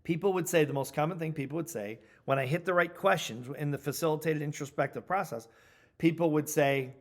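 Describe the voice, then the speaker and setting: Middle-aged man, somewhat high voice, coaching trainer, instructional talk, deliberate emphatic delivery. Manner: emphatic, deliberate, repeats key points for stress.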